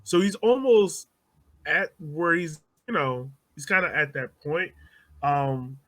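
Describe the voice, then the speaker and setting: A man's voice: on the high side, takes it slowly, a bit bright. A man hosting a casual sports podcast, talking conversationally and putting questions to his co-hosts. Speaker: podcast host.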